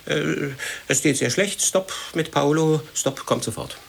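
A man's voice: fairly high pitch, a little slow, vocal fry, slight background noise. An actor playing a fussy, earnest man in a theatrical radio comedy. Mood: solemn, fussy. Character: fussy man drafting an absurdly tactful telegram.

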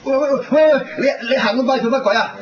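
An actor with a Hong Kong accent playing a cheeky, gossipy young buddy in a radio drama, jokey and exclamatory. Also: male voice, extremely high pitch, fast talker, music in the background. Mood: nagging, playful. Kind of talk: radio drama acting, colloquial banter. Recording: muffled 1990s radio recording, loud ambient noise.

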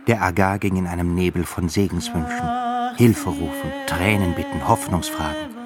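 A man narrating a literary radio play in a grave, measured manner. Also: low voice. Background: music.

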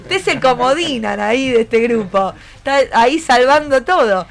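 Woman, radio host, Argentine accent, high-pitched voice, encouraging, conversational interviewing. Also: lively intonation, normal speed, gravelly. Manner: encouraging, asks many questions. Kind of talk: live radio interview with a student group.

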